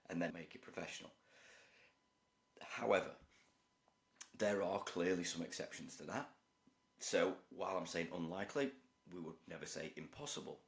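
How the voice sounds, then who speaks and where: Croaky guy, real low voice, walks through his points one by one, echoey room. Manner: measured, explanatory, numbered points. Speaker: youth football researcher and parent educator. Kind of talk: direct-to-camera talk.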